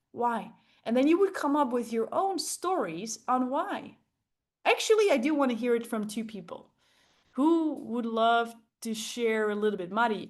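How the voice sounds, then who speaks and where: A woman coaching a group on public speaking, enthusiastic and emphatic, often checking in with rhetorical questions. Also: a little high. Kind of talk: interactive group coaching talk.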